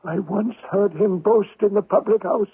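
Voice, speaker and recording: An actor playing a deferential, shifty household steward in a 1970s radio drama, obsequious and hesitant, with a raspy voice. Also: male voice, high-pitched, moderate pace. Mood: insinuating. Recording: tinny vintage radio broadcast.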